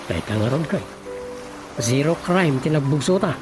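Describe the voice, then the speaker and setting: A man's voice: fairly high pitch, lively intonation, moderate pace, natural voice. A man with a Filipino accent playing a village chairman's proud, confident son in a radio drama. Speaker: actor. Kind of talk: Ilocano radio drama acting. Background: music.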